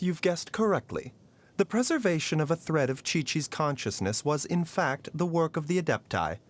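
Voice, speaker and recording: An actor playing a polite, gently wry and weary pharmacist in a video game, with measured, mildly teasing phrasing. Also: male voice, a little high. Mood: calm, thoughtful. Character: soft-spoken, courteous male pharmacist, gently wry and weary. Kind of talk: video game character voicelines. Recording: voice over background music.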